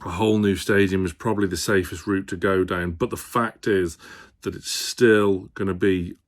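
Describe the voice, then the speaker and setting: A man's voice: low-pitched, steady tone, moderate pace. A man presenting on a football fan channel, talking casually to viewers and thinking aloud as he gives his opinion. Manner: hesitant, thinking aloud, hedging.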